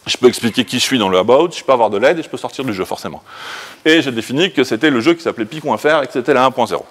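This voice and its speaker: A man in his thirties with a French accent presents in a lecture room, informally and with self-deprecating jokes. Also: medium pitch. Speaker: conference speaker. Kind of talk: informal tech presentation with live demo.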